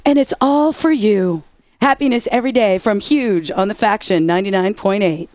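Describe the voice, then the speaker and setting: A woman's voice: medium pitch, normal speed, a little mellow, dry recording. Wisecracking woman radio DJ, dry studio. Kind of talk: scripted radio DJ patter.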